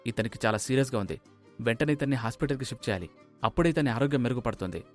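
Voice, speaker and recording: Male narrator as story doctor: composed, reassuring, mid-pitched, music underneath. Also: expressive, racing, natural voice. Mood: grave. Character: calm, reassuring male agent posing as doctor. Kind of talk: story dialogue.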